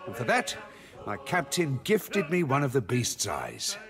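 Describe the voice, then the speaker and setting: An actor playing a proud middle-aged tavern keeper and former sailor, chatty and hospitable in a bluff way. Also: male voice, mid-range voice, huge pitch swings, measured pace, a bit bright. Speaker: innkeeper. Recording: game soundtrack under the voice. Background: music.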